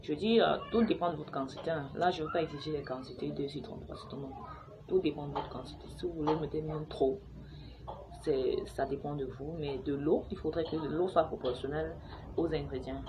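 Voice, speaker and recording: Low-voiced woman from Cameroon, chatty. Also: fairly quick, noisy background. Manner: chatty, hesitant, with many fillers and restarts. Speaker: vlogger sharing a home remedy. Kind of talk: casual how-to talk to camera. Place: home, with noisy neighbours and children playing outside.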